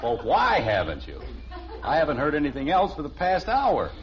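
A man's voice: a little high, wildly swooping pitch, moderate pace, vocal fry, heavily acted. An actor playing a grumpy, stern middle-aged American father in an old-time radio sitcom. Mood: impatient. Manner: stern, grumbling. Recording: muffled, noisy old radio recording.

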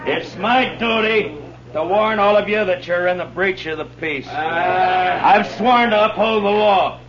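An actor playing a stern, weary frontier marshal in a 1950s radio western, with a clipped, authoritative delivery. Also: male voice, sits high, a little slow, fairly bright tone. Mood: defiant, shouting. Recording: muffled vintage 1950s radio recording.

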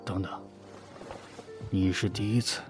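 A man's voice: sits low, steady tone, a little slow, darkish tone, soft background music. A man in an audio drama playing a possessive, jealous lover who is insistent yet tender, in a probing, intimate manner. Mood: stunned, questioning. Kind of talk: Chinese audio drama acting.

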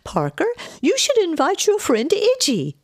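A woman voicing an effusive, eager, slightly scatterbrained hostess in an excitable, exclaiming manner for a storybook. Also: very high-pitched, a little slow, no echo, studio quality, voice pushed up, full character voice. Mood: chirpy, eager.

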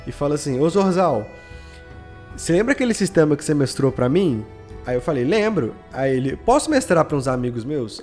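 Man, Minas Gerais Brazilian accent, fairly high voice, casual slangy storytelling, music behind. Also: darkish tone. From Belo Horizonte, Brazil. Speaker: guest, audio drama podcast creator. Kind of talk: casual anecdotal chat. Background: background music.

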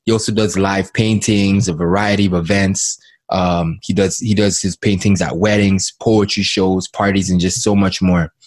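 A male podcast host with a fairly low voice leads an interview. He asks rambling questions and keeps circling back to repeat his words. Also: steady tone, normal speed, a little mellow.

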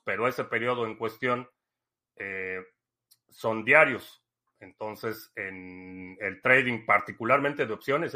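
A man, hosting a podcast, answering listener questions in a relaxed, rambling chat full of fillers. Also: on the low side. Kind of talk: casual live-stream chat.

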